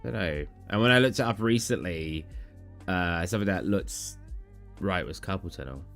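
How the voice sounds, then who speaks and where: Tired, rambling young streamer. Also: male voice, low voice, moderate pace, vocal fry, a bit bright, faint music behind. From southern England. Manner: rambling, chatty, answers chat questions. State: tired, low energy. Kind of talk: casual live-stream Q&A chat.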